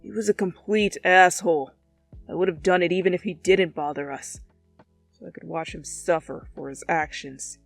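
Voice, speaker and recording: A woman voicing a cocky, blunt teenage delinquent boy in an audio fan story, gruff and dismissive. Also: fairly low pitch, steady tone, moderate pace, no room sound, faint music behind. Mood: spiteful.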